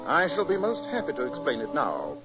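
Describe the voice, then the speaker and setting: An actor playing an incisive, proud English detective with a British accent, precise and emphatic, in 1940s radio style. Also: male voice, a little high, wildly swooping pitch, a little fast, over music. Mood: triumphant, accusing. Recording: dull 1940s radio recording.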